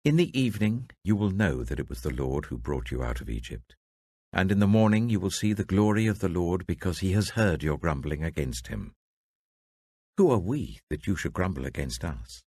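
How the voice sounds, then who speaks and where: A man's voice: sits low, expressive, normal speed, a little mellow, breathy edge, no echo. A British man voicing a stern prophet rebuking his people in a scripture reading, firm and declamatory. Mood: stern, emphatic.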